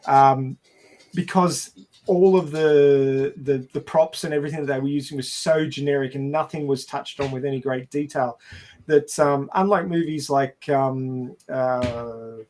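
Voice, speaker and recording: A man talking casually about film and science as a podcast panelist, explaining things haltingly with a wry, jokey streak. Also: medium pitch, fairly even intonation, darkish tone, slight background noise.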